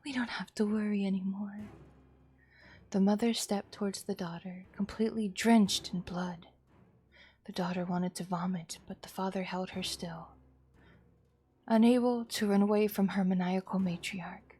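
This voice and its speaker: A woman's voice: mid-range voice, steady tone, normal speed, dark tone, slightly rough. Woman narrating a horror story dramatically. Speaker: streamer reading a visual novel aloud.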